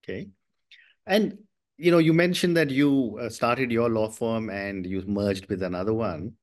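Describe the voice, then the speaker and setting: A man hosting an interview podcast, speaking politely with formal introductions and frequent soft acknowledgments. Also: on the low side, measured pace. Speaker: podcast host.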